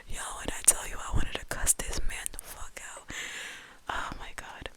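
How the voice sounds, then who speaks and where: A young woman whispering a casual, profane storytime at home, full of "like" and quick quoted asides. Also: pushed and bright. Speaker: vlogger.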